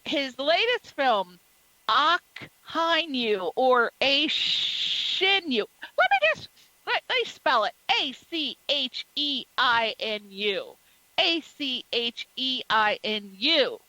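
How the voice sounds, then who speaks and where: A woman's voice: sits high, very animated, slow, pushed and bright, no echo, rough recording. A woman hosting a radio interview show, gushing with praise and chatting casually with her guest.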